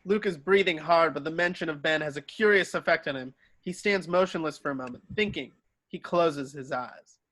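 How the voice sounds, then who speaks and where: Higher-pitched male script narrator. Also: moderate pace, fairly bright tone, no room sound. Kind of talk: scripted narration, reading stage directions.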